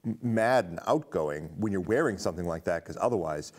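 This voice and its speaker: A man's voice: deep voice, animated, brisk. A man in his thirties hosting a casual film discussion, talkative and enthusiastic. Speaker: host of a film discussion show. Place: indoor studio stage with curtain.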